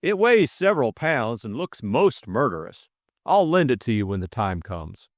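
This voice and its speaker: A man voicing a pleasant young English gentleman adventurer, careless and gently proud, in audiobook dialogue. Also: mid-range voice, sing-song, normal speed, no room sound, studio quality.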